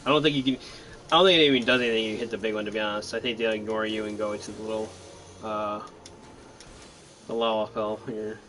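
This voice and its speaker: A man's voice: on the low side, steady tone, fast, long pauses, a bit bright, slight background noise. A man giving casual let's-play commentary in a laid-back, hesitant, rambling way. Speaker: gaming commentator.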